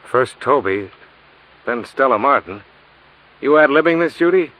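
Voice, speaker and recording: A man's voice: mid-range voice, animated, measured pace, a bit bright, low-quality recording. An American man playing a wry, hard-boiled insurance investigator, narrating and acting tersely in 1950s noir radio style. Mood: bantering, intent. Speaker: lead and first-person narrator. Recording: faint hiss on vintage radio recording.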